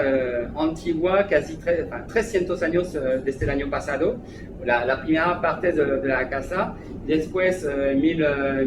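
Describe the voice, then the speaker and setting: A man with a French accent speaking Spanish as a second language, a restaurant manager giving an interview, hesitant and full of fillers. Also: a little high. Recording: echoey room, background noise.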